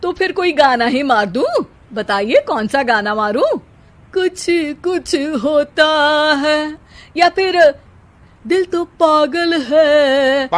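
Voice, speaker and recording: A sarcastic mother-in-law from a family comedy cartoon, acted by a woman. Her voice is fairly high, and she mocks and scolds with a quarrelsome edge. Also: expressive, takes it slowly. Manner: mocking, scolding.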